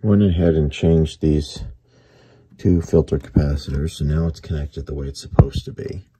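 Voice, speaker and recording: A man giving casual hands-on repair commentary as a hobbyist, thinking aloud unhurriedly in short, halting phrases. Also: rumbling low, steady tone, dark tone, breathy edge. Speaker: hobbyist radio repairer, video host. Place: indoor workbench.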